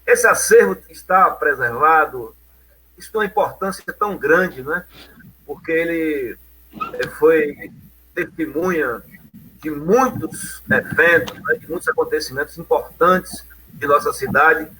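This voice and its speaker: A middle-aged man hosting an interview. His voice is bright and forward, with a husky edge, and he leads his guest along conversationally. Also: on the high side, unhurried, low-quality recording. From Bahia, Brazil. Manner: conversational, leading questions with frequent "né". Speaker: interview host. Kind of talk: interview questions.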